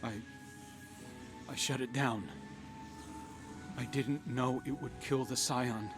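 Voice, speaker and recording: An actor playing a remorseful, principled younger rebel guardian, earnest and plain-spoken, in a video game cutscene. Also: male voice, mid-range voice, steady tone, takes it slowly, music in the background, close to an everyday voice. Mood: shaken, regretful.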